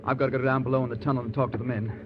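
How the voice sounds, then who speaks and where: A man's voice: medium pitch, level tone, rapid-fire, background noise, natural voice. A man acting an earnest younger tunnel construction boss in an old radio drama. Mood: earnest, subdued. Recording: muffled old radio recording.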